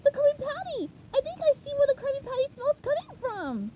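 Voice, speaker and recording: A woman voicing an eager, cheerful young male sea sponge in a storybook reading, high and squeaky. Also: brisk, a little hiss or hum, low-quality recording. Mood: excited. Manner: squeaky, excited, polite.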